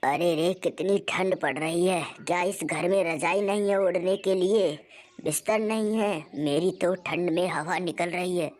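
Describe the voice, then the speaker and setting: A woman's voice: lowish voice, unhurried, a little mellow, close to an everyday voice. A woman playing a fussing mother-in-law in a cartoon family story. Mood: complaining, scolding.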